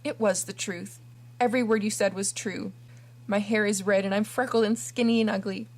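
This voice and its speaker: A woman's voice: medium pitch, moderate pace, close to an everyday voice. A woman voicing an imaginative, dramatic orphan girl who talks earnestly and theatrically. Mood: beseeching.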